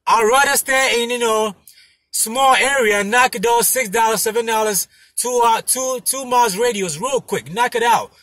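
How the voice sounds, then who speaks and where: Male driver-vlogger, high forceful voice with a husky edge, casual, emphatic and repetitive. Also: normal speed, no room sound. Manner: emphatic, repetitive, punctuated with "you know". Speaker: delivery driver vlogger giving tips. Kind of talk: casual chat to camera. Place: inside a parked car. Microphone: phone mounted in front of him.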